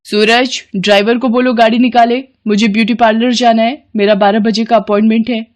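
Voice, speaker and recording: A woman's voice: a little high, flat delivery, fairly quick, lightly acted. A woman voicing a haughty middle-aged rich mother-in-law who talks in an imperious, cutting, condescending way in a family story. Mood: bossy.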